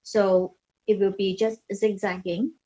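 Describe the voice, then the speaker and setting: A woman's voice: medium pitch, little pitch movement, measured pace, warm tone, low-quality recording. Hesitant woman demonstrating beauty tools. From Hong Kong. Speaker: beauty brand founder and facialist giving a demo. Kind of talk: casual product demonstration and explanation.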